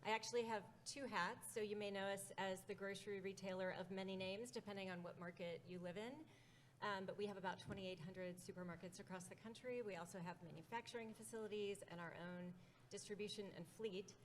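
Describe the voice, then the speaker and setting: A woman speaks as a conference panelist, measured and steady, with frequent fillers. A faint background noise sits under her voice. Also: medium pitch. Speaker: panelist, foundation president.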